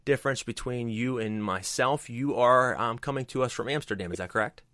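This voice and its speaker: A man's voice: mid-range voice, a little fast, a bit bright, dry recording, studio quality. A man hosting a talk show, reading sponsor plugs and welcoming a guest with enthusiasm and frequent fillers. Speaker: host.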